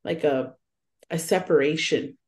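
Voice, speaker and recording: A young woman co-hosts a casual podcast chat in a slightly low voice. She is lively and laughs easily, and she slips into little acted-out lines when she retells what people said. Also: unhurried. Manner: animated, laughs often, acts out quoted voices. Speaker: podcast co-host.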